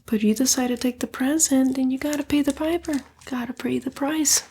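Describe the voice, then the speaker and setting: Sly fallen-angel demon played by a woman, breathy ASMR whisper, higher register, casually coaxing and taunting, faint background noise. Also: steady tone, a little fast, mellow. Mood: cynical, goading. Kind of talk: ASMR roleplay.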